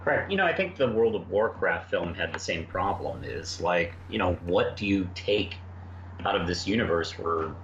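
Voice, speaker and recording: A man co-hosting a casual review discussion, thinking aloud in a hesitant, halting way. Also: deep voice, expressive. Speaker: podcast co-host. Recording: dull lo-fi sound, faint background noise.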